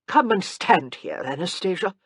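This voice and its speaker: A woman's voice: fairly low pitch, animated, moderate pace, husky, no echo, clean studio sound. A woman voicing a blunt, commanding elderly aristocratic grandmother in an audiobook. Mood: imperious. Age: older. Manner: imperious, cutting.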